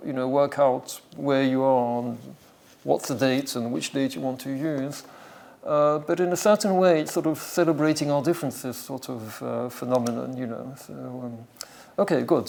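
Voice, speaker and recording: A male academic speaker with a strong gravelly creak and a middling pitch. He explains things off the cuff in a hesitant, halting way, thinking aloud as he lectures. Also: steady tone, a little mellow. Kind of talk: academic talk with extempore explanation.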